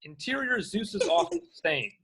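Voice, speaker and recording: A man narrates screen directions from a script. His voice is somewhat high for a man and husky, with lively movement in pitch. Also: measured pace.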